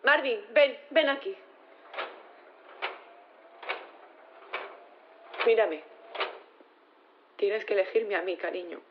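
A woman's voice: medium pitch, lively intonation, measured pace, lo-fi sound, lightly acted. An actress playing an emotional, insistent, devoted wife in an audio drama, often repeating her words. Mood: tender, pleading. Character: devoted, pleading wife of a condemned robot.

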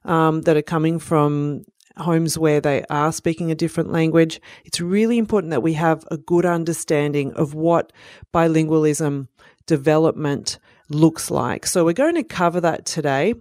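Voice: mid-range voice, moderate pace, a little mellow, no room sound, clean studio sound. Speech pathologist teaching. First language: Italian. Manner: explanatory, with frequent "um" and "you know" fillers. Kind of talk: educational presentation.

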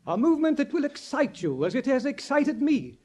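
A man's voice: extremely high pitch, sing-song, a little fast, darkish tone. An actor playing a hesitant, fussily formal old male professor in a 1950s radio drama. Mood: pompous oratory. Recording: vintage 1950s radio recording.

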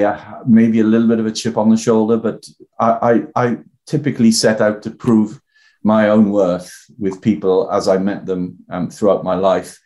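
Older welsh guy, lowish voice, halting and reflective, lots of restarts. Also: a little mellow. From the South Wales Valleys. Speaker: guest. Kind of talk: reflective personal interview talk.

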